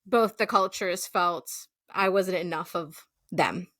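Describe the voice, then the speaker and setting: A woman's voice: fairly low pitch, takes it slowly, dry recording, clean studio sound. A woman co-hosting a podcast in casual chat, agreeing often and telling stories lightly. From United States. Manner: warm agreeing, frequent backchannels, laughs easily. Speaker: podcast co-host.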